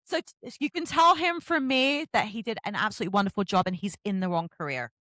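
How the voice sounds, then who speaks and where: A woman's voice: mid-range voice, quick pace, bright, forceful tone, no echo. A woman hosting a casual book chat, effusive and emphatic, repeating herself for emphasis. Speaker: podcast host interviewing an author.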